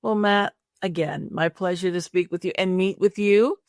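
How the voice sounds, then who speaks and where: A woman with a creaky voice, speaking conversationally as an interview host and career coach. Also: on the low side, normal speed, no echo, clean studio sound.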